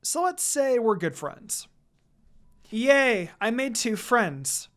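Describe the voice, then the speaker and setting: A woman reading a first-person fan fiction story aloud in a casual, expressive, dramatized way. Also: fairly high pitch, takes it slowly.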